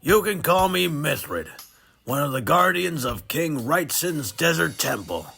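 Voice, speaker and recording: A man's voice: a little high, big pitch swings, a little slow, vocal fry, pushed and bright, husky, full character voice. A man playing an ancient, loyal temple guardian in an audio drama, speaking earnestly and formally with casual touches. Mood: grateful, explanatory. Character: ancient male temple guardian freed from a tomb, loyal oath-keeper.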